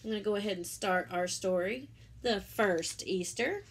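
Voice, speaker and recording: A woman reading a children's religious picture book aloud as a story-time teacher, pausing for simple explanatory asides to young listeners. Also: medium pitch, slight background noise.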